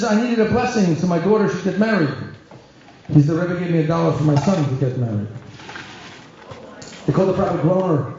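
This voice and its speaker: Man, rabbi, lively storyteller, acts out the voices, dull lo-fi recording. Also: slight background noise. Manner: animated storyteller, acts out dialogue with shifting pitch. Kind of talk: storytelling talk to a congregation. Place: synagogue hall. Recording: very echoey hall, dull lo-fi sound.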